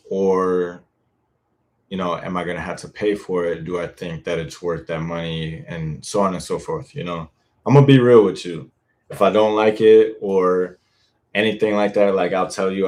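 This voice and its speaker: Deep-voiced laid-back young man. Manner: laid-back, rambling, frank with casual swearing. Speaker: boyfriend-audio creator hosting a live Q&A. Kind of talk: casual live-stream chat, reading viewer comments.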